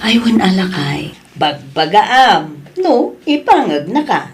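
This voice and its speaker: A woman's voice: medium pitch, very animated, slow. A woman playing an older mother in an audio drama, chiding and exclamatory, concerned and meddling, with an Ilocano accent. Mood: scolding.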